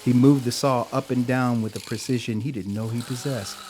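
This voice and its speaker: A man narrating an audio drama in a measured, deliberate storytelling style. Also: medium pitch, fairly even intonation, darkish tone, a little hiss or hum.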